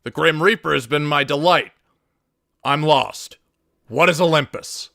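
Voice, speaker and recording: Brief: male reader for scripted game monologues, forceful bright tone with a gravelly edge, mid pitch, dry recording. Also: unhurried, dry recording.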